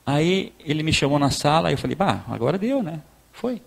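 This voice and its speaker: A man with a southern Brazilian accent from Rio Grande do Sul, preaching informally in a conversational, halting storytelling way, repeating words and acting out dialogue. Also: fairly high pitch, big pitch swings, creaky. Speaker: pastor giving a talk. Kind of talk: informal sermon with personal testimony.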